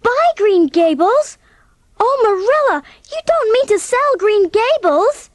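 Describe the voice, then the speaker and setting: A woman playing an earnest, impulsive, warm-hearted teenage orphan girl in an audiobook drama, speaking with eager emotion. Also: very high-pitched, very animated, slow pace, dry recording, studio quality, exaggerated voice. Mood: alarmed, pleading.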